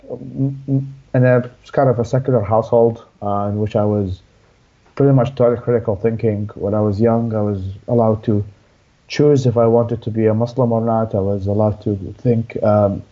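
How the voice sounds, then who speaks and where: A young man from Iraq, an interview guest telling his life story in a halting way with many restarts. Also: mid-range voice, dull audio.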